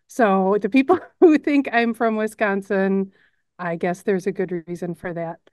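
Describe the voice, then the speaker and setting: A woman's voice: medium pitch, unhurried, a little mellow, clear, resonant tone, no room sound. Chicago-accented woman, dry close sound. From Chicago suburbs. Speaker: news editor and lead narrator. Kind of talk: casual on-camera chat.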